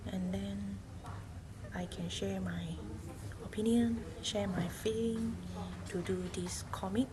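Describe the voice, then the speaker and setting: A young Malaysian woman, a native Mandarin speaker, giving a hesitant spoken reflection in English with long pauses and fillers. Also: mid-range voice, fairly even intonation. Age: young adult. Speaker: university student. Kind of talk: spoken class reflection in a second language. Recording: noisy background hiss.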